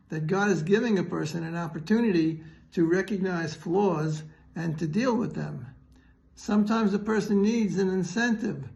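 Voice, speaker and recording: A man with a high, gravelly-edged voice teaches religion as a rabbi would. He explains earnestly and didactically, pausing mid-thought as he works through each point. The sound is slightly dull and lo-fi. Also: a little mellow. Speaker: rabbi giving a Torah lesson.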